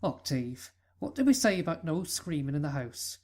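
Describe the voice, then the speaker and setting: A woman voicing a gentle middle-aged mother pony who calls people "dearie" in a fan audio reading. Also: low-pitched, sing-song, moderate pace. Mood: doting.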